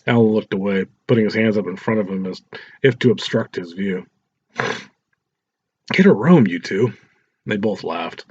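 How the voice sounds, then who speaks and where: A man's voice: lowish voice, steady tone, brisk, darkish tone. A man narrating a scripted story as an audiobook reader. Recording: roomy echo, dull lo-fi sound.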